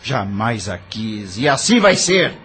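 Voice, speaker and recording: An actor playing a troubled doctor who is defensive, curt and pleading, in an audio drama. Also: male voice, on the low side, sing-song, takes it slowly, fairly bright tone, husky, soft background music, exaggerated voice. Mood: defiant.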